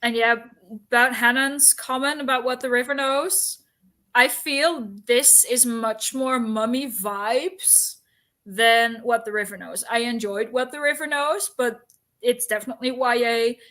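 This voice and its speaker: A woman with a somewhat high-pitched voice talks casually, as if co-hosting a discussion. She explains things in a talkative, informal way, dropping in asides as she goes. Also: unhurried, bright, forceful tone. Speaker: book discussion co-host. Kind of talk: casual chat about books and history.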